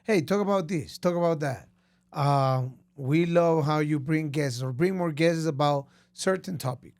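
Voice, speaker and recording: A man with a Costa Rican Spanish accent, co-hosting a casual podcast chat in a relaxed way. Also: a little high, unhurried, gravelly, no room sound. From Costa Rica. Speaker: podcast co-host.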